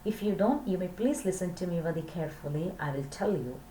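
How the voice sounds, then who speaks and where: A woman's voice: on the low side, expressive, brisk, dark tone. A woman in her thirties with an Indian accent teaches a recorded lesson for children, repeating phrases and putting questions to her pupils. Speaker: English teacher. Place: small plain room indoors. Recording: roomy echo, clear background noise.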